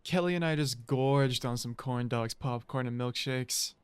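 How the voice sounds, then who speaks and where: Man acting goofy boyish teen, male, smooth clean voice, mid pitch, audio drama. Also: a little slow, lightly acted. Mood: playful. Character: goofy, boyish, hungry teenage boy. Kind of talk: acted audio drama dialogue.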